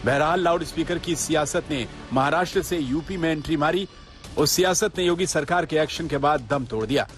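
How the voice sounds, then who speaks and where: A man's voice: fairly high pitch, fairly quick. A man narrating a scripted television news report as a voice-over. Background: light background music.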